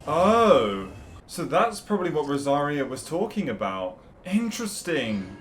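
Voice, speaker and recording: A man giving casual, expressive commentary on a video game, musing aloud and reacting. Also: fairly high pitch, a little slow, a little hiss or hum. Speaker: gaming video creator. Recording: game music behind the voice.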